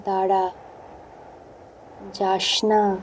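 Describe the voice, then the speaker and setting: Woman voicing a low, heavy, commanding morgue spirit. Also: flat delivery, very slow, distant mic, a little hiss or hum, rough recording. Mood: eerie, commanding.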